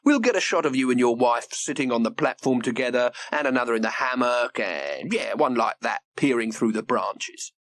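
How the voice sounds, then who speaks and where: A man voicing a busy, brisk newspaper photographer in a children's story. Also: mid-range voice, expressive, fairly bright tone, no room sound, close to an everyday voice.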